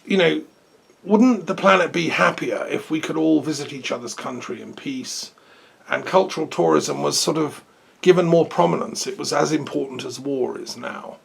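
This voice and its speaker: A man's voice: on the high side, moderate pace, creaky, breathy edge. A man hosting an interview, asking rambling, friendly questions. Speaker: host. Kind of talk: casual interview.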